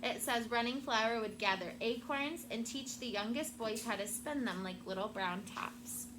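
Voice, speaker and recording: A woman teacher reading a storybook aloud to children in a classroom, with expressive, deliberate phrasing and prompting questions. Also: fairly high pitch. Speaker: elementary school teacher. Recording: very noisy classroom recording.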